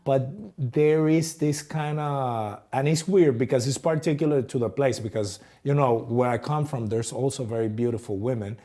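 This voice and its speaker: A man from Latin America with a Latin American Spanish accent, a podcast host talking casually in halting English full of restarts. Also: mid-range voice, dark tone. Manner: affirming, halting with repeated restarts. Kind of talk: casual conversation.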